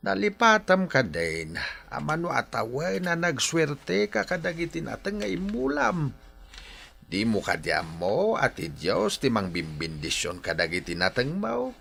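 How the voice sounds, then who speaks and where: A man's voice: fairly high pitch, wildly swooping pitch, a little slow, vocal fry, fairly bright tone, a little hiss or hum, full character voice. An actor playing a devout older father in a Philippine radio drama, earnestly admonishing his grown son.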